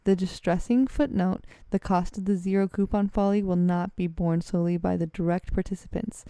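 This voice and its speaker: A woman reads aloud from a text in a smooth, mellow voice. She trips over words and corrects herself as she goes. The sound is close and dry. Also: fairly low pitch, fairly even intonation, normal speed, dry recording. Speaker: vlogger reading a letter aloud.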